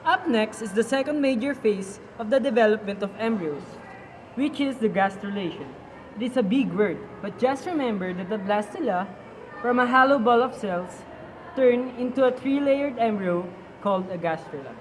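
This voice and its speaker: Casting: a woman as an obliging female doctor explaining to kids, higher-than-average pitch, light background noise. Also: expressive, moderate pace, close to an everyday voice, low-quality recording. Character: obliging doctor teaching curious children. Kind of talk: scripted educational puppet show.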